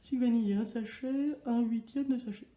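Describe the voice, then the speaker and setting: A woman playing a childish, excitable, self-pitying character who talks to themselves in slang in a playful children's sketch. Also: on the high side, normal speed, roomy sound, muffled recording, close to an everyday voice. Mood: busy, curious. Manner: exaggerated, slangy, talking to self.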